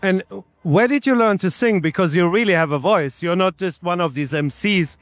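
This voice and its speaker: Male reporter, high register, informal interview questions, very little room sound. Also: moderate pace, fairly bright tone, no room sound. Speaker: radio interviewer. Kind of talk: casual on-location interview.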